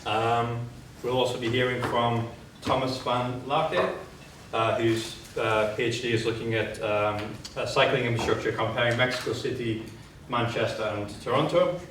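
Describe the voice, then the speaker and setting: Hesitant male host in a boomy hall. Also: fairly even intonation, normal speed, few pauses, a bit bright. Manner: hesitant, many fillers. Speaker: conference host. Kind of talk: introducing speakers. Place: conference hall. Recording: very echoey hall, distant mic, noisy.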